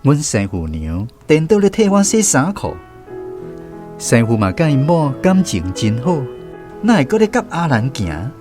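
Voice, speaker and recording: A man's voice: fairly high pitch, huge pitch swings, normal speed, music in the background. An actor with a Taiwanese accent playing a man who narrates memories of his boyhood apprenticeship in a scripted radio drama. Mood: puzzled, reflective. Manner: reflective storytelling, explains as he goes.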